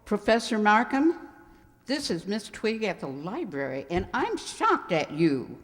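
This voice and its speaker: Radio play librarian female performer: prim, chatty, gently scolding, lively inflection, ringing hall acoustic. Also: medium pitch, unhurried. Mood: primly shocked. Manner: fussy, scolding yet genteel. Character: prim, chatty small-town spinster librarian. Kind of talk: amateur radio mystery play acting. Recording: reverberant hall sound.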